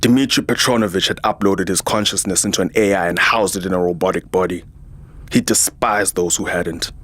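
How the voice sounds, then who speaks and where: A man playing a husky, jaded security chief. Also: low voice, a little fast, a bit bright. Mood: disdainful. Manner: sardonic, world-weary. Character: jaded, vain transhuman security chief, male warrior. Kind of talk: first-person scripted sci-fi narration.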